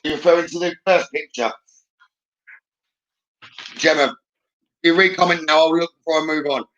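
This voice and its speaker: Bright-voiced man hosting a live psychic stream. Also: a little high, fairly even intonation, moderate pace, highs cut off. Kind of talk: live stream readings, chatting with viewers.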